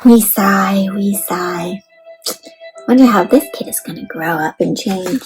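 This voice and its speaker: A woman hosting an interactive read-aloud for young children, expressive, asking them questions and explaining things simply. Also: mid-range voice, a little slow, soft background music, rough recording.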